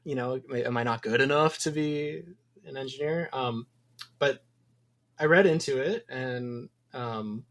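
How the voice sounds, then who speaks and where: A man's voice: mid-range voice, unhurried, a bit bright. A man from Wisconsin, a game developer guest in a casual podcast interview, talking thoughtfully with many ums. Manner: thoughtful, rambling with frequent ums.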